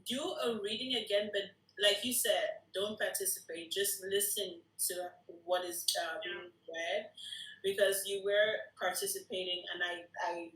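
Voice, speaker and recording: A woman's voice: medium pitch, moderate pace, a bit bright, echoey room, rough recording. A woman giving casual feedback in a group chat about a script.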